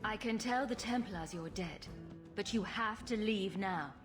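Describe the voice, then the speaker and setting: An actress playing a wry, blunt, sharp-tongued woman adventurer in a video game. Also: mid-range voice, measured pace, over music, lightly acted. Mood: firm.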